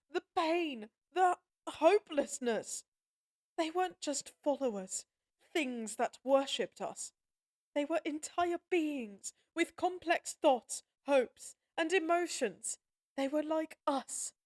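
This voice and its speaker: Casting: forsaken, guilt-ridden goddess played by a woman, higher voice, unhurried halting delivery, emotional audio-drama acting. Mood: grieving, pained. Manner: halting, emotional, earnest pleading.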